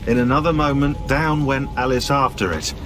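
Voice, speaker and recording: An actor playing a measured reciter of a children's storybook tale in a video game, speaking deliberately. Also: male voice, mid-range voice, close to an everyday voice. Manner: slow, deliberate. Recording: music behind the voice.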